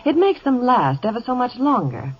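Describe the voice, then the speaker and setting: A woman reads scripted sponsor banter on a muffled 1950 radio broadcast. Her voice is fairly low and husky, with an animated, swinging intonation. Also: normal speed. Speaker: radio reporter and sponsor pitchwoman.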